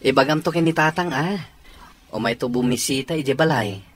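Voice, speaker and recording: A man's voice: mid-range voice, brisk, fairly bright tone, lightly acted. An actor with an Ilocano accent playing an attentive young husband and new father in a radio comedy drama. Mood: polite, cheerful.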